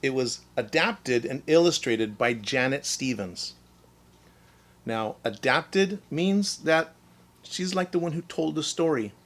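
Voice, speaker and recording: A man's voice: mid-range voice, expressive, slow, fairly bright tone. A man reading a children's story as a teacher, expressively, explaining things in simple words.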